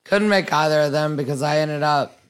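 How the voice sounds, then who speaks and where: A woman with a husky voice in casual podcast chat, blunt and swearing freely, with many "like" fillers. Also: sits low, fairly quick.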